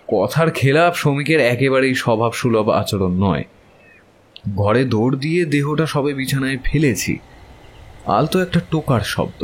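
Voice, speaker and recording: A man playing a young, haunted and infatuated traveler, narrating a horror audio story in a theatrical, literary manner with dramatic pauses. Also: mid-range voice, a little hiss or hum, close to an everyday voice. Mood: uneasy, puzzled. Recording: voice over loud background music. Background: prominent music bed.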